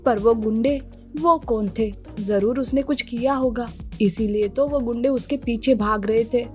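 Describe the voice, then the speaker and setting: A woman's voice: on the high side, unhurried, lightly acted. A woman playing a worried, suspicious and nagging wife in a story. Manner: nagging, anxious. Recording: music behind the voice.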